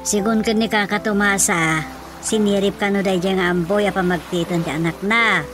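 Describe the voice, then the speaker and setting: A woman's voice: mid-range voice, steady tone, normal speed, over music, close to an everyday voice. A woman acting a gossipy, complaining villager in an Ilocano radio drama comedy, tattling and wailing with an Ilocano accent. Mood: gossipy, urgent.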